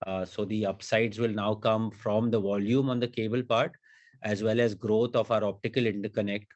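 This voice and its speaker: A low-voiced man speaks as a company executive on an earnings call. He answers in a measured way, pausing and repeating words as he builds his points.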